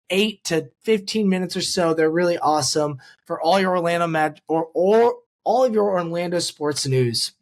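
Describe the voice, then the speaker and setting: A man hosting a sports podcast, talking casually and informally with an upbeat fan's manner. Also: high-pitched. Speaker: podcast host. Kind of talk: casual podcast intro and ad reads.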